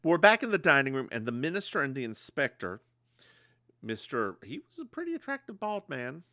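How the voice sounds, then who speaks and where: Male podcast co-host, chatty recapper, animated delivery, dry close mic. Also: medium pitch, brisk, no echo. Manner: animated storyteller, slips into voices when quoting. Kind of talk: casual chat.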